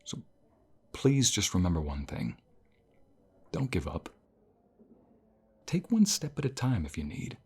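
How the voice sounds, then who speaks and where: Guy playing a kind college professor in an asmr roleplay, low breathy voice, gentle and reassuring, takes his time. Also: wildly swooping pitch, unhurried, pauses often, exaggerated voice. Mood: reassuring, encouraging. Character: caring, encouraging male college professor, gently self-deprecating. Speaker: voice actor in an audio role play. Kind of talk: intimate ASMR role play, one-sided conversation.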